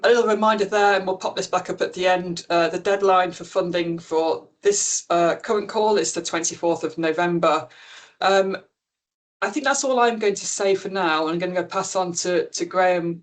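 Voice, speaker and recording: A woman hosting a funding webinar over a video call, explaining the rules in a relaxed, chatty way with frequent ums. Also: on the low side. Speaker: webinar host and principal investigator. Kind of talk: informal briefing.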